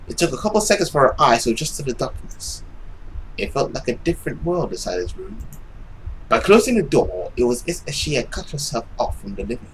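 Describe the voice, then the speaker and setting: Young male gaming narrator, dramatic suspenseful read, hushed at times, faintly noisy home recording. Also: mid-range voice, sing-song, a little fast. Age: young adult. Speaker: gaming YouTuber reading a visual novel aloud.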